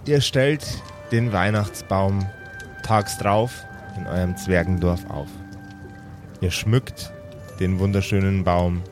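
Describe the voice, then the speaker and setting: A man's voice: fairly even intonation, takes it slowly, music in the background. A man acting as game master, narrating an improvised tabletop game in a casual way. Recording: clear rumbling ambient noise behind.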